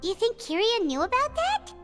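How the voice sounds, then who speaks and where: A woman's voice: big pitch swings, normal speed, a bit bright, faint music behind, full character voice. A woman voicing a tiny, bubbly floating fairy companion who talks about herself by name, in a game. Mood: eager. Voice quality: squeaky, childlike. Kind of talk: game voice acting.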